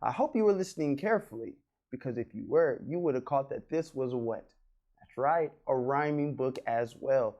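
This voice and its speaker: A man's voice: medium pitch, expressive, moderate pace, darkish tone, lo-fi sound. A man hosting a read-aloud for children, narrating expressively and speaking directly to his young listeners.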